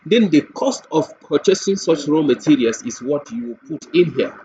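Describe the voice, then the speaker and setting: A man with a Nigerian accent giving a software tutorial walkthrough, explaining step by step and repeating points with everyday examples. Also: on the high side, lively intonation, normal speed. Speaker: software demonstrator. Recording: dull lo-fi mic with faint noise.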